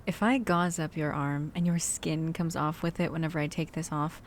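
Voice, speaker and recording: A woman's voice: sits low, fairly even intonation, moderate pace, slight background noise. A woman playing a chatty, cautious zombie-apocalypse survivor in an ASMR roleplay audio drama, talking to the listener in rambling, hesitant asides. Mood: wary, uneasy.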